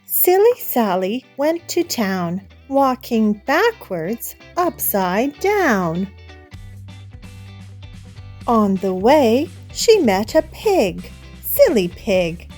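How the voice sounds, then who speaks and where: A woman hosting story time, reading a picture book aloud to young children in a deliberate, sing-song manner. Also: fairly high pitch, very animated, darkish tone, soft background music. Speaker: children's storybook reader and host.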